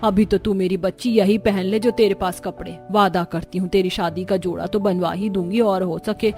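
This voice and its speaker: A woman voicing a caring, outspoken woman of a cartoon family, plain-spoken and expressive. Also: medium pitch, moderate pace, soft background music, natural voice. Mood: sorrowful.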